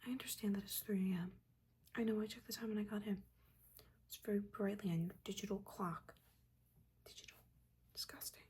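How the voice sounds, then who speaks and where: A woman plays a disappointed witch mentor in a whispered ASMR roleplay. Her delivery is breathy and soft-spoken, halting and nagging, with dry exasperation over a caring core. Faint ambient noise sits behind the voice. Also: medium pitch, steady tone. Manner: scolding, halting, dryly exasperated. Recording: audible background ambience noise.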